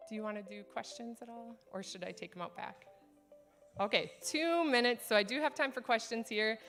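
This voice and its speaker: A woman's voice: a little high, fairly quick, fairly bright tone, faint music behind. A woman speaking from a conference stage, earnest and encouraging as she presents leadership ideas to an audience. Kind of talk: conference keynote talk.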